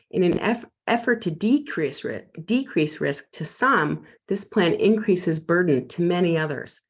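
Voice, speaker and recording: A middle-aged man reading a prepared public comment at a council meeting in a measured, concerned way. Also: on the high side. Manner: measured, concerned, read from notes. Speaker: resident giving public comment.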